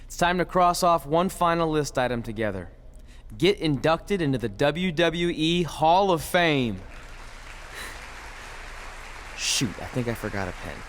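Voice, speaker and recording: An actor playing an excitable, vain young ex-wrestler turned TV host in a video game cutscene, chatty and eager. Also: male voice, fairly high pitch, moderate pace. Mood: sincere.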